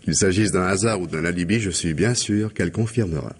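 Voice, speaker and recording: A man's voice: low voice, brisk, husky. A man playing an ironic, insinuating police inspector who questions with needling gallantry in a radio mystery drama. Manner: sardonic, insinuating questioning with polite irony.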